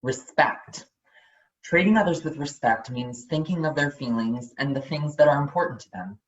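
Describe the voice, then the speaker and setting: A performer reading books aloud in a deliberate, explanatory storytelling manner. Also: medium pitch, highs cut off.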